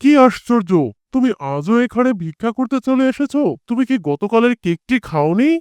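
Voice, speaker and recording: Man acting a stern, high-pitched king. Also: lively intonation, normal speed, a little mellow, clear, resonant tone, no echo. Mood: indignant. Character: stern but devout, repentant king. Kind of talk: animated moral story dialogue.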